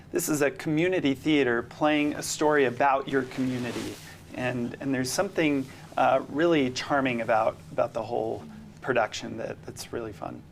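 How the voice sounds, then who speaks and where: A man giving casual interview answers as a community theater actor, pausing as he phrases his thoughts. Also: medium pitch. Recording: noticeable background noise.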